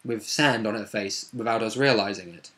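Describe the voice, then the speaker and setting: Casual male storyteller, a tabletop player retelling a session off the cuff, halting and self-correcting. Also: on the low side, a bit bright. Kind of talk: casual unscripted game recap.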